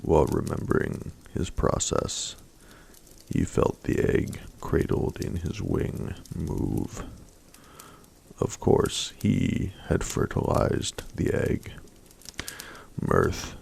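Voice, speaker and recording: A man reading a fantasy story aloud in a slow, soft-spoken ASMR style, much of it half-whispered. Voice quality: very deep gravelly voice.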